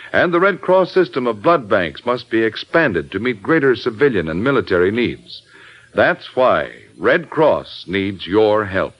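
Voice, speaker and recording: A male announcer delivering an earnest, formal public appeal. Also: medium pitch. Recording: dull old radio broadcast.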